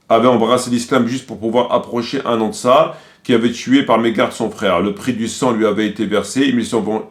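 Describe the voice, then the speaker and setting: A man delivering critical commentary, quoting sources didactically and repeating his points for emphasis. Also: on the low side.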